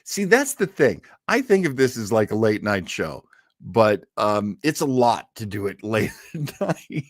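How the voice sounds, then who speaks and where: A man's voice: lowish voice, big pitch swings, a little slow, no echo, clean studio sound. A man chatting casually as the host of a livestream, rambling in a self-deprecating, easily amused way. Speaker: host of an old-time radio livestream.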